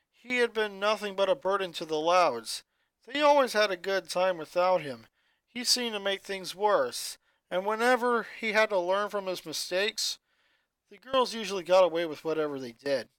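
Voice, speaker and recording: A narrator reading a fanfiction story aloud, telling it in a steady, earnest way. the voice is bright and clear at a middle pitch, recorded close and dry with no room sound. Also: dry recording. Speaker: fanfiction reader.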